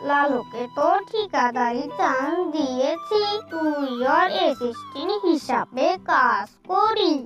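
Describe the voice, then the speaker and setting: A man's voice: very high voice, animated, unhurried, a bit bright, distant mic, dull audio, faint music behind, full character voice. A man voicing a humble, hopeful male animal in a cartoon, speaking deferentially. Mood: agreeable. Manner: deferential, pleading. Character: humble, hopeful male job-seeking animal named Kutub. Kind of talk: fairy-tale cartoon dialogue.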